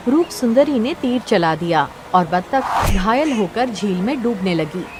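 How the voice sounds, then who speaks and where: Mid-pitched woman narrating a fairy tale. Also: expressive, measured pace, noisy background. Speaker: story narrator. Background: music.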